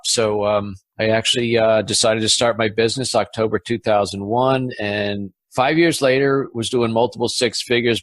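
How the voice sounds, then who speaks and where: A man's voice: fairly low pitch, no echo. Brief: easygoing male guest, mid-Atlantic American accent, dry close sound. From Philadelphia, Pennsylvania. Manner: easygoing, laughs often. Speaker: guest, business coach. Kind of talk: casual interview chat.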